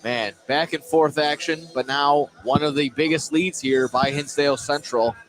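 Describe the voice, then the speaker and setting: A man's voice: on the high side, fairly even intonation, normal speed. A man calling live basketball play-by-play as the announcer. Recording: noisy gym ambience, ball bounces. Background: basketball game in a busy gym.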